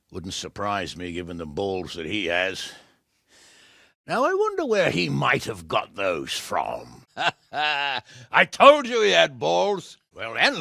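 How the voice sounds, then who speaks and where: An actor playing a gruff, sardonic middle-aged medieval lord and commander in a video game, blunt and coarse, with a gravelly voice. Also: male voice, mid-range voice, sharp tone, dry recording, studio quality, exaggerated voice. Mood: wry, commanding. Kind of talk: dramatic video game voice acting.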